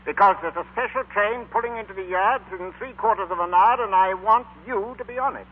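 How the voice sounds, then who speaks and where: A man playing a gruff but kindly middle-aged American father, brisk and commanding, in 1940s radio drama style. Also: high voice. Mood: gruffly kind. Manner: brisk, commanding, clipped questions. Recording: tinny, narrow 1940s radio recording.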